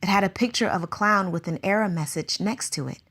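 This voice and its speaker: A woman's voice: medium pitch, fairly quick, fairly bright tone, slightly rough. A woman playing an anxious, earnest circus teacher in a radio drama, asking question after question.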